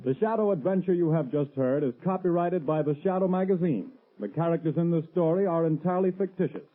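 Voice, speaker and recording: A man's voice: on the high side, quick pace. A man announcing formally in the scripted style of 1930s radio. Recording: tinny band-limited vintage radio recording.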